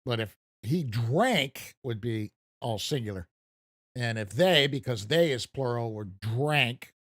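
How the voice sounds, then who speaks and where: A man's voice: mid-range voice, fairly bright tone, no echo, clean studio sound. An American man casually chatting while streaming a farming game, rambling and poking fun at himself. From United States. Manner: rambling, self-deprecating, chuckles at himself. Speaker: gaming streamer. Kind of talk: casual live stream commentary.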